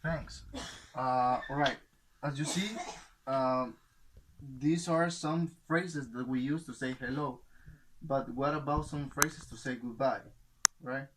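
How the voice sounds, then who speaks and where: A man teaching an English class in a patient, slow classroom manner, checking in with "right?" after each point. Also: medium pitch, fairly even intonation, slight background noise, rough recording.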